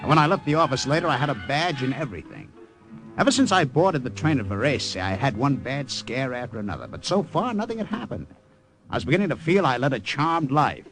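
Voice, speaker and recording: An earnest American spy narrator. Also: male voice, mid-range voice, very animated, a little fast, a bit bright, soft background music, poor audio. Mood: narrating. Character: anxious American undercover agent man. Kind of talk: 1940s radio narration and acting.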